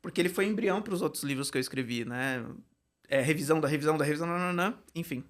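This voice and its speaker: A man speaks with a slightly raised pitch, spinning a personal anecdote in a relaxed podcast conversation. He tells it expressively and breaks into laughter as he goes. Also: fast talker. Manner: storytelling, animated, laughs often. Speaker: Portuguese and creative writing teacher, guest.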